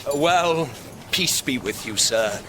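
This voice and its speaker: A man's voice: mid-range voice, animated, slow, noisy background. An actor playing a haughty, menacing young swordsman with a British accent and a challenging manner, in a Shakespearean radio drama. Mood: hostile.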